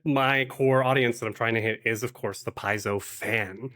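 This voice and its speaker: Male podcast guest, medium pitch, conversational and informal interview answers. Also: fairly even intonation, normal speed, bright, forceful tone. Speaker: podcast guest, actual-play podcaster and game master. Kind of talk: casual interview chat.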